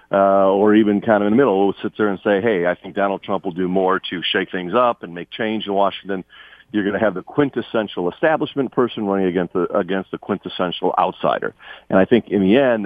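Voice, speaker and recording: A man speaking conversationally as a political guest in a radio interview, peppering his points with "you know". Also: low voice. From from Lithuania, immigrant. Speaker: political party figure, guest. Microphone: phone call to radio studio. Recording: tinny phone line, roomy echo.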